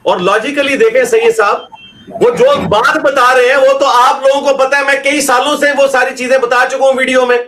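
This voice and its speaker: A man's voice: very high-pitched, fairly even intonation, normal speed, a bit bright. Argumentative Pakistani man with a raised voice. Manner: argumentative, emphatic, asks rhetorical questions. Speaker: religious scholar answering questions. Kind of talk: conversational question-and-answer talk.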